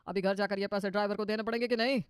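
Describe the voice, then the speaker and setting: Casting: woman playing grumbling middle-class man for a cartoon, quick delivery, scolding, dry recording. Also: medium pitch, fairly even intonation, clear, resonant tone, no echo, natural voice. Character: fed-up men of a modest middle-class family. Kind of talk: cartoon moral story voice.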